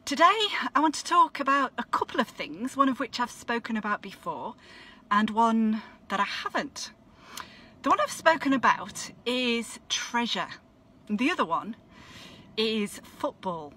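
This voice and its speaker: A woman minister from Leeds, giving a measured, reflective devotional talk. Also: on the high side, lively intonation, bright, forceful tone, slight background noise. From Leeds, England. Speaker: Methodist minister. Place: outdoors at a football stadium.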